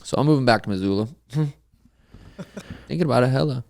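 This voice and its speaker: A man's voice: mid-range voice, fairly quick, gravelly, darkish tone. A young man telling stories in a loose, swearing podcast chat, laughing often as he co-hosts. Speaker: podcast co-host, fighter.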